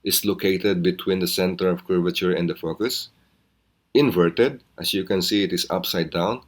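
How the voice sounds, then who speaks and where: A man teaching physics in a recorded lesson, explaining step by step in a measured, instructional way. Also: low voice, fairly even intonation.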